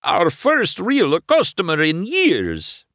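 A man's voice: sits high, wildly swooping pitch, slow, fairly bright tone, no room sound, studio quality, voice pushed up, exaggerated voice. Man doing Luigi, a gushing Italian car. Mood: ecstatic. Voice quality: theatrical, gushing, sing-song. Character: excitable little Italian tire-shop car, male. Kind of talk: storybook read-aloud.

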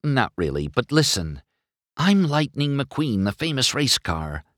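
A man's voice: medium pitch, sing-song, a little slow, fairly bright tone, dry recording, clean studio sound, pitched above the performer's own voice. A man voicing a brash, boastful and desperate male race car in a children's storybook. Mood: urgent pleading.

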